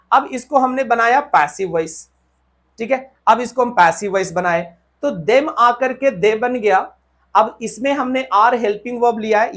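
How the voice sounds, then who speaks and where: Female teacher, Indian accent, higher-pitched voice. Instructional delivery, repeats key points, checks understanding. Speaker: English grammar teacher. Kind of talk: instructional lesson, explaining step by step.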